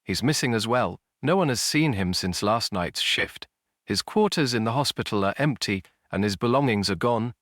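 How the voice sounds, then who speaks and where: A man voicing a grim, brisk police inspector in an audio story, businesslike and matter-of-fact. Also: lowish voice, expressive, a bit bright, dry recording, clean studio sound, pitched above the performer's own voice. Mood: grim, puzzled.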